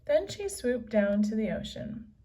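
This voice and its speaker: A woman reading a children's story aloud as narrator, with measured, deliberate phrasing. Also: on the high side, very animated, warm tone, slightly rough. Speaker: storybook reader. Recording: slightly distant from the microphone.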